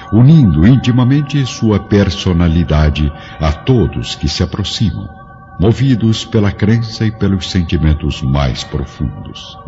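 A man's voice: rumbling low, dark tone. A man giving dramatic scripted narration as the narrator of an audiobook radio drama. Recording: muffled lo-fi audio under music.

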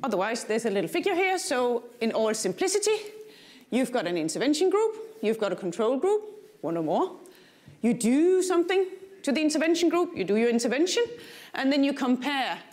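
A woman's voice: high voice, very animated, fairly quick, darkish tone. A middle-aged woman with a Danish accent, a native Danish speaker, gives a keynote lecture in a large conference hall on a lapel mic, with dry humor and questions for the audience. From Denmark. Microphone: lapel mic, walking the stage.

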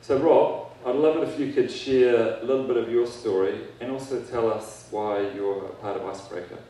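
A man's voice: medium pitch, fairly even intonation, normal speed. A man giving a keynote speech on stage with a handheld mic, casual and self-deprecating. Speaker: keynote speaker, company founder. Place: large event hall on stage. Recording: echoey hall, distant mic, faint noise.

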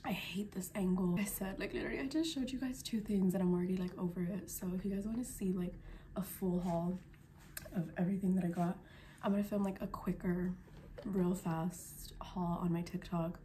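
A woman's voice: lowish voice, steady tone, brisk, dark tone, slight background noise. A young woman in her twenties vlogging casually from her bedroom, sounding congested after being sick, chatty and full of "like", sometimes dropping to a whisper. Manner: chatty, lots of "like", drops to whispers. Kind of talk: casual vlog chat. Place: bedroom at night. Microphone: phone camera held close.

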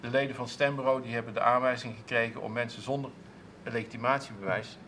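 Guy in his 30s, council clerk, halting answers full of restarts, lapel mic, faint hiss. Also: mid-range voice, fairly even intonation, a bit bright. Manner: hesitant, many restarts and fillers. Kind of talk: studio interview answers. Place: TV studio with seated audience, at a table.

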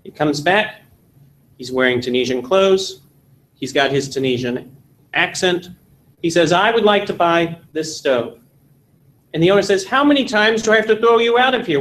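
A man from Texas in his forties, giving an animated classroom lecture and telling jokes in a seminary meeting room. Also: high voice, normal speed. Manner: animated storytelling with jokes, gesturing. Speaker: seminar instructor.

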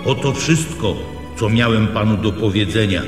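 An actor playing a cold, officious castle official in a radio play, speaking formally and imperiously. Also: male voice, lowish voice, moderate pace, natural voice. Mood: cold, commanding. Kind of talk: radio drama acting. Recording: reverberant hall with music behind.

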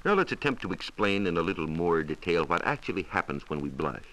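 A low-pitched American man with a bright, forceful tone explains science on a 1940s radio transcription disc. His wording is formal and measured, and his pitch moves in lively swings. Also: rough recording. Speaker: science reporter on a radio show. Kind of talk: 1940s radio science talk, part scripted answers.

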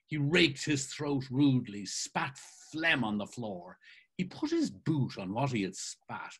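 A man in his sixties giving an expressive dramatic reading and commentary over a video call. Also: mid-range voice, husky. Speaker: actor and reader.

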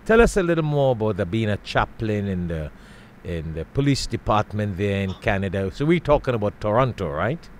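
A middle-aged man from Trinidad hosting an interview in a studio, speaking into a boom mic with frequent restarts and repetitions. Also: very animated, brisk, slight background noise. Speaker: interview host. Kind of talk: casual interview. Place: studio with world map backdrop.

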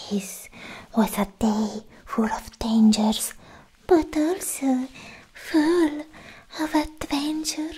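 A young woman playing a sweetly possessive, obsessed alien girlfriend in a whispered, coaxing ASMR roleplay, speaking close to the mic in halting phrases. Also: high voice, a little mellow. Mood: adoring. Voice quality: breathy, mostly whispered. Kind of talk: soft-spoken ASMR roleplay. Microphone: close ASMR microphone.

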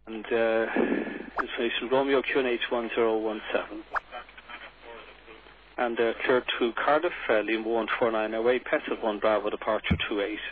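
A man working as an air traffic controller, reading out clearances over aviation radio. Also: fairly low pitch, steady tone, normal speed, crowd noise. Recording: narrowband radio with loud static and clicks.

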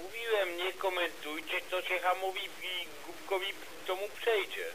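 A man's voice: high voice, fairly even intonation, normal speed, very noisy. A man admonishing others in casual amateur radio talk, heard through a radio transmission.

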